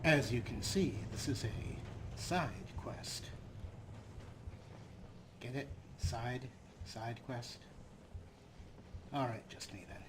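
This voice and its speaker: A man's voice: medium pitch, takes it slowly, long pauses. Male let's play host, mid-range voice, casual and wry, recorded over very noisy game sound. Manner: wry, jokey asides. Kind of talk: casual gameplay commentary. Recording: loud game noise behind voice. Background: video game sound.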